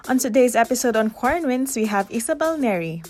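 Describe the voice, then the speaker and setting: A woman's voice: a little high, lively intonation, fast, a little mellow. Upbeat woman host, roomy recording. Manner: upbeat presenter, brief "mm-hmm" backchannels. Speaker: show host. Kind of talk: hosted interview and outro. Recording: roomy recording with faint music.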